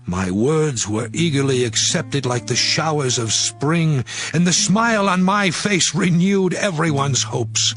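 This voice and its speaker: An actor playing a righteous, once-proud man in a dramatized scripture reading, solemn and expressive. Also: on the high side, unhurried. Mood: wistful. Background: background music.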